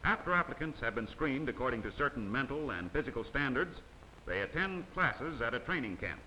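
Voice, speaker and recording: A man narrating an old instructional film in a formal, measured way, his voice bright and lively in pitch. The soundtrack carries a faint hiss from an old film print. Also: rough recording. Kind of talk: scripted documentary narration.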